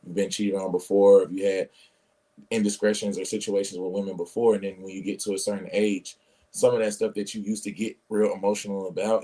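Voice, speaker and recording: A man with a low, mellow voice speaks on a podcast panel. He is measured and lays out his points at length. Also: poor audio. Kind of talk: casual discussion.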